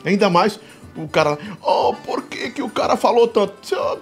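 A man from Piauí, hosting a podcast in animated, joking, rambling casual chat. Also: sits high, moderate pace, soft background music. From Piauí, Brazil. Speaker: podcast host.

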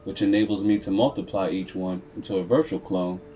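A man narrating a scripted story in the first person as a grave, proud machine character, solemn and deliberate, with dramatic pauses. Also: sits low, fairly even intonation, mellow, a little hiss or hum. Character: computer processor telling its own story as a grave, proud character. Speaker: video narrator. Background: music.

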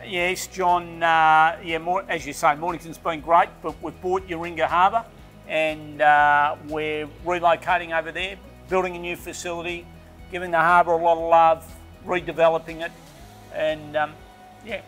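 A man's voice: fairly high pitch, level tone, moderate pace, a bit bright. A middle-aged Australian man who owns a boatbuilding firm, answering interview questions enthusiastically with many restarts and fillers. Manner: enthusiastic, rambling with restarts and "you know". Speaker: boatbuilding company owner. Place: boat factory workshop. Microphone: lapel mic. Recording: faint background music.

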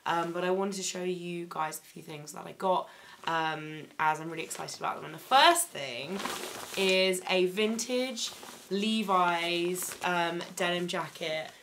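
A young woman recovering from laryngitis, her voice still slightly croaky, chatting enthusiastically through a clothing haul in her bedroom, with plenty of ums and likes. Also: on the low side, moderate pace, sharp tone, slight background noise. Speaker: fashion vlogger. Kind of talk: casual haul vlog. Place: bedroom, indoors.

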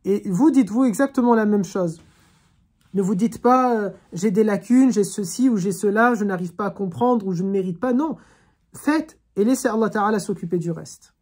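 A woman's voice: mid-range voice, moderate pace, a little mellow, breathy edge. A woman teaching an online religious class over a video call, speaking in an earnest, reflective way and urging her listeners on. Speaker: Quran teacher.

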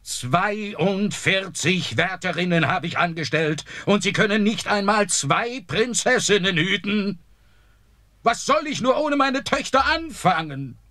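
A man's voice: sits high, animated, a little slow, pushed and bright, no room sound, full character voice. An actor playing an old, worried king who sternly scolds his son and servants. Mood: alarmed. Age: older.

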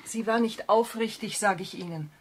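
An actress playing a sharp-tongued, dry assistant to a detective in a 1970s radio crime drama. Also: female voice, medium pitch, normal speed, slight background noise, close to an everyday voice. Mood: tart, indignant. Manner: tart, dry, scolding.

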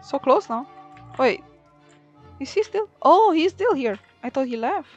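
A woman's voice: high-pitched, very animated, a little slow, lo-fi sound, soft background music. A woman giving casual gameplay commentary, thinking aloud as she plays. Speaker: gaming video player.

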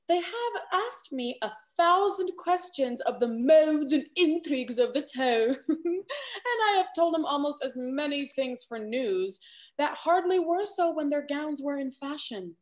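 Young woman doing a sly gossipy society lady from an old stage comedy, affected, high voice. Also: expressive, measured pace. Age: young adult. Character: scheming, falsely sweet genteel lady confidante in Restoration comedy. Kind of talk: theatrical period stage acting over video call. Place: indoor room at home, on video call.

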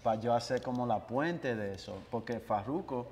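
A man's voice: mid-range voice, normal speed, big-room sound, slight background noise. A young man, a guest musician born in the United States, chatting casually in an interview and dropping English words into his Spanish. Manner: mixes English words into Spanish, relaxed and laid-back. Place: small office with couches, indoors.

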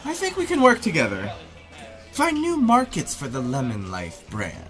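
A lovelorn, dramatic dancer in an audio play. The voice is low for a woman and swings widely in pitch, wry and theatrical, with music underneath. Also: wildly swooping pitch, moderate pace. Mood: scheming. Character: dramatic, lovelorn, weight-obsessed dancer nursing heartbreak.

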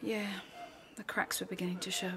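An actress in a radio drama playing a blunt, disapproving sister. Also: female voice, mid-range voice, flat delivery, a little fast, sharp tone. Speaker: actor.

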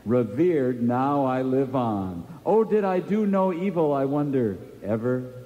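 A man playing a terse, deadpan cowboy hero in a radio comedy. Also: mid-range voice, a little slow, big-room sound, highs cut off, slight background noise, close to an everyday voice.

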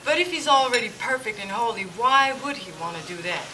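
A woman's voice: a little high, expressive, brisk, pushed and bright. A woman in an amateur church stage play, playing a doubtful dinner guest who keeps questioning what she hears. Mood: skeptical. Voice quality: skeptical, questioning. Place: church hall stage, indoors. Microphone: far camera. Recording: distant camera with music behind.

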